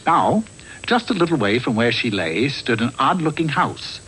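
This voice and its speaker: A man's voice: mid-range voice, sing-song, moderate pace, heavy background noise, lightly acted. A man narrating a comic fairy tale in a wry, theatrical storyteller's manner, from a script.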